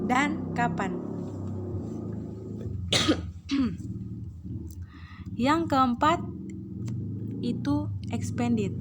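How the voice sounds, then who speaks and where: A woman teacher with an Indonesian accent, hoarse from a cold, reading English captions slowly and explaining them, clearing her throat often. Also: a little high, slight background noise. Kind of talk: online English lesson, reading then translating.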